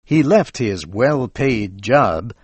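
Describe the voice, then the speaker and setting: An American man reading scripted educational narration for English learners in a measured, carefully enunciated way. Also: medium pitch, lively intonation, darkish tone, breathy edge, no echo.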